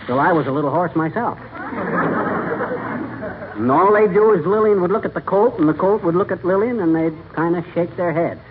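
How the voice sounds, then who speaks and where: An actor performs a blustery middle-aged husband in old radio comedy. The man's voice is on the high side, folksy and exasperated. The recording is phone-thin with clear noise. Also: fairly quick, a faint crowd, lightly acted. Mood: insistent, disgusted. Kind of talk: 1940s radio comedy acting. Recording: tinny, noisy vintage radio broadcast.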